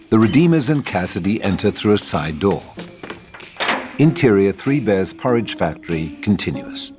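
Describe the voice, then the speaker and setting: Low-voiced man narrating over music. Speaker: narrator reading stage directions. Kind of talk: scripted narration of an audio drama script.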